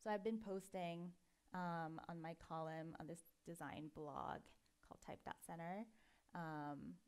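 A woman with a low voice and a gravelly edge speaks at a lectern in a dark auditorium. She talks in a relaxed, chatty way, with frequent ums as she explains her design work. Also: measured pace. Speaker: conference speaker. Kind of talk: design conference talk.

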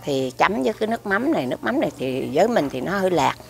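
A middle-aged woman with a Southern Vietnamese accent reviews food to a camera across a restaurant table. Her voice is low and gravelly, and she rambles warmly, repeating words and leaning on fillers. Faint music plays behind her. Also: big pitch swings, fast. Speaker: food vlogger. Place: restaurant table by a window, indoors.

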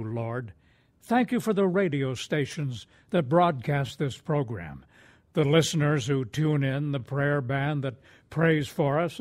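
A man hosting a radio program, reading a scripted appeal in a solemn, measured manner. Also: fairly high pitch, darkish tone.

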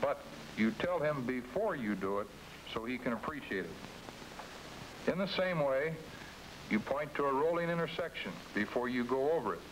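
A man's voice: mid-range voice, lively intonation, moderate pace, poor audio. A middle-aged American man coaching salesmen in a scripted, folksy 1950s training-film narration style. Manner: folksy, direct second-person coaching. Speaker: company executive presenting a dealer training film. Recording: hissy 1950s film soundtrack.

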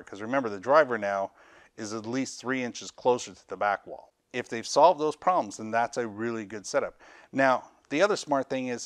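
A man's voice: mid-range voice, dry recording, studio quality. A middle-aged man talking casually to camera as a gear seller and reviewer, explaining in a rambling way and qualifying his opinions. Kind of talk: casual product talk to camera. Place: indoors, small cluttered audio gear shop.

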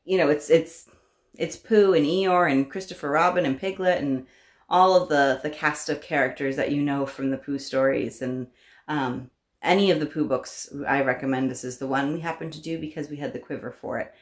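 Low-pitched woman in an echoey room. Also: fairly even intonation, normal speed. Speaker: homeschooling parent vlogger. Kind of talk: casual talk to camera, recommending books. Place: small room at home, indoors. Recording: roomy indoor echo.

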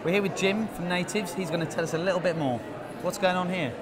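A man's voice: high-pitched, fast talker, a loud crowd, very noisy. A man in his thirties presenting a show with jokey roving interviews in a busy exhibition hall. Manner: jokey, light-hearted. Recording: loud music in the hall.

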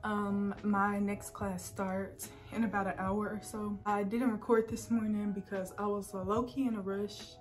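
A woman's voice: mid-range voice, measured pace, hardly any pauses, faint music behind. A young woman with a Southern US accent, chatting casually to her phone camera in a small apartment bedroom for her vlog. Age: young adult. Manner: chatty, relaxed, slangy. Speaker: vlogger, college student. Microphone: phone camera at arm's length.

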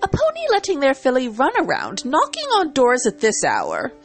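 Haughty cartoon unicorn lady , acted by a woman: high, refined, fussy and theatrical yet caring, storybook reading over music. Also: wildly swooping pitch, moderate pace, full character voice. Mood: indignant. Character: dramatic, haughty, ladylike unicorn pony mare, fussy but caring.

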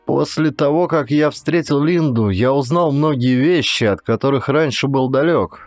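A man's voice: mid-range voice, normal speed, no room sound, faint music behind, voice pushed up, natural voice. Narrator voicing an earnest man in a raised tone. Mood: earnest, curious. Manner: earnest, questioning. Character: earnest, curious male first-person hero of thriller.

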